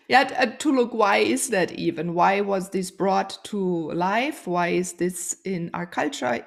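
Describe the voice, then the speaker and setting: A woman's voice: mid-range voice, creaky. A woman with a German accent hosting a spiritual podcast interview, often searching for words and restarting her sentences. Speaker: podcast host. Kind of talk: conversational interview.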